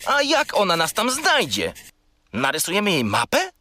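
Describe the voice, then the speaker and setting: A curious little furry boy creature speaks in a bright voice that leaps all over. He is naive, excitable and opinionated, in the manner of a children's radio play. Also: high voice, moderate pace, no room sound, clean studio sound, exaggerated voice. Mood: earnest. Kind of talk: children's audio drama acting.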